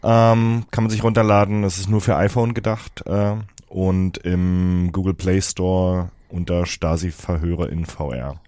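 Lowish-voiced man explaining tech. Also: steady tone, unhurried, hardly any pauses, darkish tone, no room sound, studio quality. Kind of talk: technical explanation in conversation.

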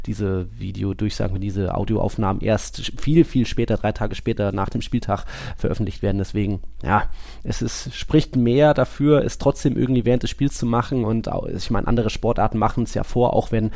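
A man with a gravelly voice, co-hosting a casual football podcast and thinking aloud in a rambling way. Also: fairly low pitch, a little fast, dark tone. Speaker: podcast co-host.

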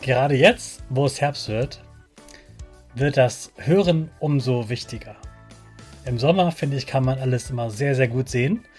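A man's voice: mid-range voice, measured pace, a little mellow, soft background music. A man hosts a children's podcast, talking directly to the child in simple, encouraging, motivational words.

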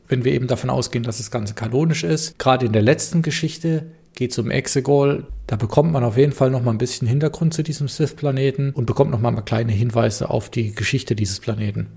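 Gravelly, husky man reviewing a book. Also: mid-range voice. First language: German. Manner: relaxed, thinking aloud with fillers and hedges. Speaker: podcast host reviewing books. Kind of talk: casual solo review.

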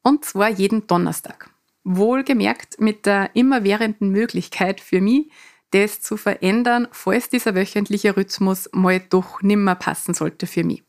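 A middle-aged woman from Lower Austria with a Mostviertel dialect accent, earnest, hosting a solo coaching podcast. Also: measured pace. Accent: Mostviertel Austrian dialect with a touch of Salzburg. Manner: earnest, speaks in dialect rather than standard German.

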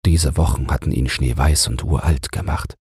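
A man narrating a bedtime fairy tale audiobook in a calm, measured, husky, breathy voice. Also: a bass voice, no echo, studio quality.

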